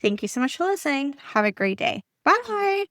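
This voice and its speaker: A woman's voice: sits high, very animated, moderate pace, fairly bright tone, dry recording, clean studio sound. A woman hosting a casual podcast in an upbeat, enthusiastic way. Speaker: podcast host.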